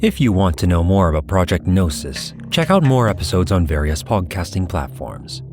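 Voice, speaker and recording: A man's voice: low-pitched, big pitch swings, moderate pace, a little mellow, soft background music. A man announcing, reading scripted podcast credits.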